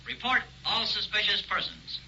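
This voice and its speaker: An actor playing a brisk male radio news announcer who reads a bulletin in an urgent, clipped way. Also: high-pitched, vocal fry, sharp tone, exaggerated voice. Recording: dull old radio recording with faint hiss.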